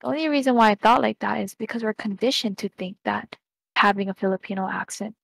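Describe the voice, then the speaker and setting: A young woman speaking as a podcast guest over a headset from a small home room, earnest and measured as she thinks things through. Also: mid-range voice, creaky, no echo. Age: young adult. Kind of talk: casual conversation.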